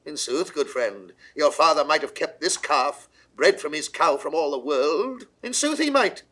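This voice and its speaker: A commanding British monarch in a classical 1960s audio drama. His pitched-up delivery is highly animated and declamatory. He speaks with shrewd, regal authority. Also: a little slow, exaggerated voice. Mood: judicial, measured. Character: imperious, shrewd medieval king of England.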